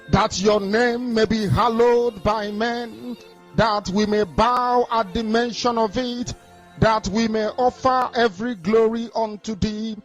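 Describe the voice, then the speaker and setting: A man leading a chanted prayer in a sing-song intoning voice. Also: high-pitched. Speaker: prayer leader. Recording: dull lo-fi audio with music.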